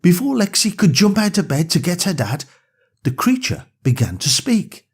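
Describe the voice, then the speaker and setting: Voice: medium pitch, very animated, normal speed, husky. A storyteller narrating a scripted bedtime story for young children.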